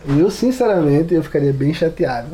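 Mellow-voiced Brazilian man chatting. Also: fairly high pitch, expressive, moderate pace. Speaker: podcast panelist. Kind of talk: casual chat.

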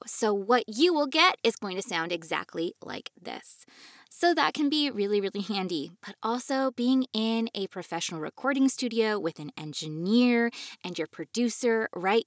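A woman's voice: on the high side, fairly bright tone, dry recording, studio quality. A woman co-hosting an instructional podcast, chatty and explanatory, stressing points by repeating words. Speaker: podcast co-host.

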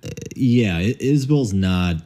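A man's voice: lowish voice, expressive, takes it slowly, dark tone. A man co-hosting a casual talk show about music, agreeing often and restarting his sentences as he hunts for words. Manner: hesitant restarts, lots of short agreement. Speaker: podcast co-host.

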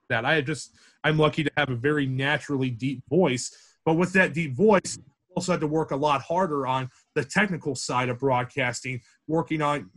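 A young man with a big, projecting voice, sitting sitting a little higher than most men's. He rambles through loose podcast talk, circling back and restarting his sentences. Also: fairly bright tone. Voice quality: deep, projecting voice. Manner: rambling with frequent restarts and fillers. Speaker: campus radio sports director, guest. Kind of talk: casual podcast interview.

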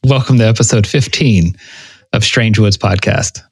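A man's voice: on the low side, moderate pace, gravelly, husky, no echo. A man co-hosting a podcast, bantering casually and teasing in a joking way. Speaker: podcast co-host. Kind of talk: casual banter among friends.

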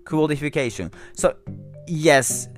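A man whose first language is Japanese, speaking English with a Japanese accent, talking slowly and thoughtfully to camera with many restarts and fillers. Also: fairly high pitch, lively intonation, faint music behind. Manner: slow and thoughtful. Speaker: English learner. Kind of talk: reflective solo talk.

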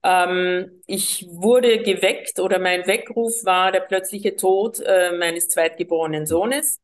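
Woman from colombia, slightly low pitch, hesitant with fillers, interview guest. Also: steady tone, takes it slowly. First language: Spanish. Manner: hesitant, many fillers and restarts. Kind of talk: personal storytelling in an interview.

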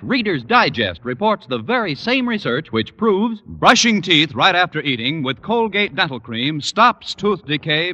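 A man announcing an old-time radio advertisement in a polished, emphatic sales pitch. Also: sharp tone. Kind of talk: 1940s-50s radio advertising read. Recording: muffled, reverberant old radio recording.

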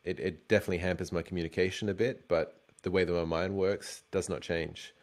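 Emphatic, enthusiastic male guest, very deep voice. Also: little pitch movement, fairly quick. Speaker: guest, design recruiter. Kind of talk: casual interview chat.

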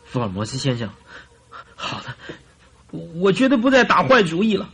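An actor playing a cornered, cowed schemer in a radio drama, hesitant and stammering in a submissive manner. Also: male voice, a little high, wildly swooping pitch, moderate pace, a bit bright, breathy edge, full character voice. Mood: contrite. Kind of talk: Chinese radio drama acting.

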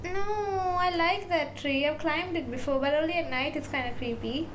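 High-voiced chatty young woman. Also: moderate pace, a bit bright. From Sri Lanka. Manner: chatty, heavy use of "like". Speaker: podcast co-host. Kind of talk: casual chat about folklore. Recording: faint background noise.

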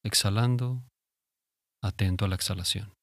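A man leading a guided relaxation meditation in a calm, soothing, instructive way with long pauses. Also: fairly low pitch, dry recording, clean studio sound. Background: music.

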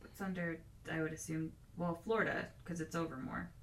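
Gravelly woman chatting from a distance. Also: on the low side, fairly even intonation, brisk, slight background noise. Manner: chatty, meandering storyteller. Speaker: guest, host's sister. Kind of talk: casual chat.

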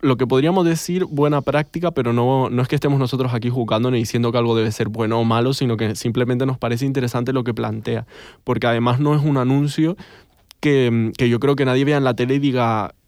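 A man with a Canarian accent talks at an average pitch in a dry recording. He sounds like a podcast host in casual discussion, tentative and careful to qualify his points. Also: steady tone, fast talker, a little mellow, no echo. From Canary Islands, Spain.

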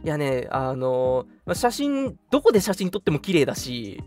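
A young man hosting a casual radio chat in an animated, teasing manner. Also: a little high, moderate pace, faint music behind. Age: young adult. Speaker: radio show host.

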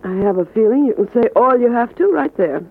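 An American actress playing a sassy young dame in a 1940s radio crime drama. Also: female voice, on the high side, lively intonation, a little fast, a little hiss or hum. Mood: cool, guarded. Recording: tinny band-limited old radio broadcast.

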